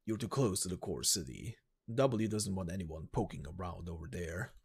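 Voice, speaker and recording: A man voicing a gruff, stern, cautious mercenary who speaks in a measured, guarded, commanding way in an amateur game story reading. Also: sits low, animated, a little mellow, voice pushed down, full character voice. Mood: stern, suspicious.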